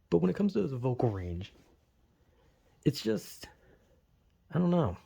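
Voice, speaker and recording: Gravelly, mellow-voiced young man rambling. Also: fairly high pitch. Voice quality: slight lisp. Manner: self-deprecating, filler-heavy with lots of "like". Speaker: vlogger. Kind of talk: casual rambling monologue.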